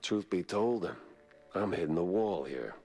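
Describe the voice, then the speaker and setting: An actor voicing a gruff, weary hardboiled male detective in a video game, dry and sardonic. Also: sits low, animated, a little slow, a little mellow, soft background music, exaggerated voice. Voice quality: gravelly and husky.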